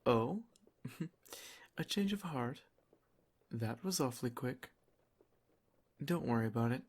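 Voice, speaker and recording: A man playing a doting, protective vampire lord in an ASMR roleplay, soft-spoken, formal and reassuring. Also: medium pitch, lively intonation, slow, breathy edge, heavily acted. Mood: warm, soothing.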